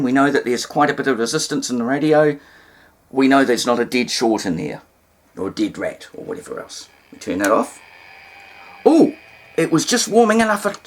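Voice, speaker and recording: Man giving an informal hands-on demonstration. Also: medium pitch. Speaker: hobbyist presenter demonstrating radio repair.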